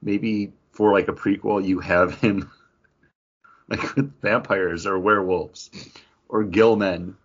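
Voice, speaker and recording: Man, podcast co-host, lowish voice, theatrical storyteller, muffled lo-fi audio. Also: fairly even intonation, slow pace, breathy edge. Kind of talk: casual chat with dramatic storytelling.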